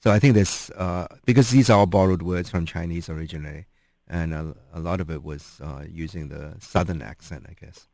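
A man's voice: low-pitched, fairly quick, darkish tone, no echo, studio quality. A man interviewing a guest in casual chat, thoughtful and hesitant, with many ums.

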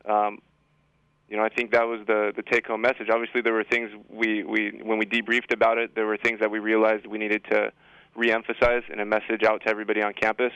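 A man's voice: lowish voice, completely flat delivery, fast, creaky, a bit bright, clear, resonant tone, no room sound, muffled recording. A man giving informal, matter-of-fact explanations as a school administrator in a radio interview, often repeating and rephrasing himself. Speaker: high school assistant principal, interview guest. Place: radio studio.

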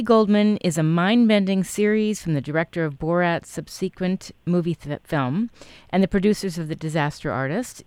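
A show host interviewing a guest, asking questions and offering steady encouragement. The voice is smooth and clean. It is recorded close and dry. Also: medium pitch, dry recording. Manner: encouraging, reads promo copy. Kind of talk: interview chat.

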